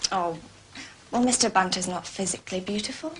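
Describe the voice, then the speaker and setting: An actress playing a chatty, dreamy, romantic young housemaid with a Scottish accent in a period mystery drama. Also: female voice, mid-range voice, brisk, noisy background, close to an everyday voice. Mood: dreamy, gushing. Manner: gushing, chatty. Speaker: actor.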